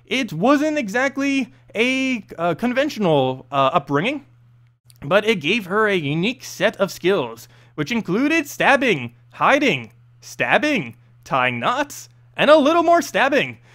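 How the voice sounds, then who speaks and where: Guy with a highish voice reading a fantasy story out loud, really up and down, trips on words a bit. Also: big pitch swings, unhurried. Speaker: host reading game lore story aloud.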